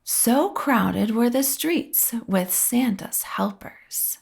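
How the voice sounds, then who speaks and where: Lady reading a kids story really slowly, husky and kinda gravelly, stretches words out for effect. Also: fairly high pitch, animated, darkish tone, in a whisper. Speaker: storybook reader. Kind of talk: slow expressive read-aloud of a children's story.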